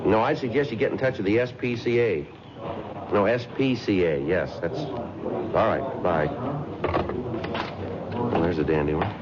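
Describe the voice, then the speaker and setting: Man playing a deep-voiced, deadpan police detective. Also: measured pace, long pauses, noisy background, lightly acted. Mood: wry, weary. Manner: terse, flat, deadpan. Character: stoic, matter-of-fact male police detective. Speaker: lead actor and narrator. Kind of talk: 1950s radio police drama, clipped dialogue and narration. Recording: muffled 1950s radio recording with music.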